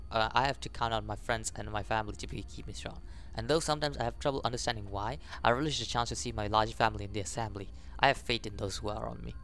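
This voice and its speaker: Young man reading game text haltingly. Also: on the low side, fairly bright tone. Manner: halting reading, stumbles over words. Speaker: gaming YouTuber. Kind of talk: casual let's play, reading game text aloud with commentary.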